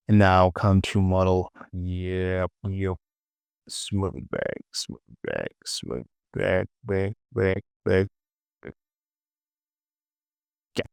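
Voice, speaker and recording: A man giving an informal software tutorial, muttering short clipped instructions to himself and often repeating words. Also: deep voice, big pitch swings, drawn-out words, creaky, pauses often, clear, resonant tone, no echo, clean studio sound. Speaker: 3D modeling tutorial presenter.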